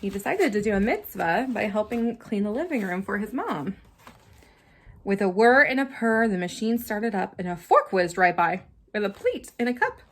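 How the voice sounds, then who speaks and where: A woman reading a children's story aloud in an expressive, dramatic manner, with simple asides for young listeners. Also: mid-range voice, moderate pace. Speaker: storybook reader.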